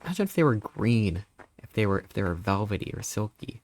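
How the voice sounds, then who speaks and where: A man in his thirties, a live streamer chatting casually and greeting viewers, rambling playfully with sudden squeaky jumps in pitch. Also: sing-song, brisk, darkish tone, no echo. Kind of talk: casual live-stream chat reading chat messages.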